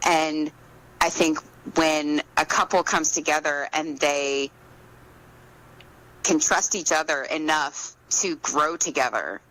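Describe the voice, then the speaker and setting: A woman's voice: sits low, slow, fairly bright tone, poor audio. A woman being interviewed as an author, talking casually and reflectively, in an earnest, explanatory way.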